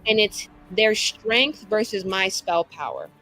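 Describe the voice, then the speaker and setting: A woman's voice: medium pitch, expressive, takes it slowly, bright, forceful tone, soft background music, low-quality recording. A woman playing a mage in a tabletop game, chatting casually and swearing freely. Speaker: player.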